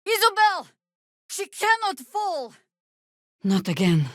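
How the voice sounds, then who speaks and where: An actress playing a seasoned, middle-aged druid warrior woman in a fantasy video game, commanding and wry, with a dry, deliberate delivery. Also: very high-pitched, wildly swooping pitch, fairly bright tone, dry recording, exaggerated voice. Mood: alarmed.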